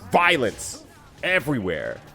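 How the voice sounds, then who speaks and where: A man giving lively, jokey game commentary with drawn-out exclamations and casual chat. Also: medium pitch, creaky, soft background music. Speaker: play-by-play commentator and player.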